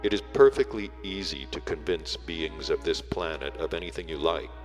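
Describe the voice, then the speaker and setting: A man reading a book aloud as solemn, scripted narration, in measured, deliberate phrases with weighty breaks. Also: extremely low pitch. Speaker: audiobook reader. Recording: long reverb, music behind the voice. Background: music.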